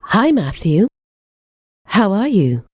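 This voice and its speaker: A woman's voice: medium pitch, very animated, takes it slowly, darkish tone, slightly rough, no echo, studio quality. A woman reading English course dialogues and lesson titles in a careful teaching manner. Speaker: language course narrator and dialogue reader. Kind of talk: slow, clearly read textbook dialogue.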